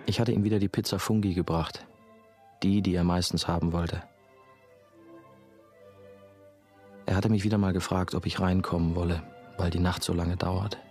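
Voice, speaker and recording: A deep-voiced, brooding young storyteller. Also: male voice, steady tone, brisk, a little mellow. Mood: subdued. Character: brooding male ex-novice, nursing-home worker, telling his story. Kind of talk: radio drama first-person narration. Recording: music behind the voice.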